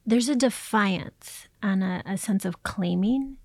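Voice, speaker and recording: Female artist interviewee, medium pitch with plenty of vocal fry, thoughtful, dry sound. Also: takes it slowly, no room sound.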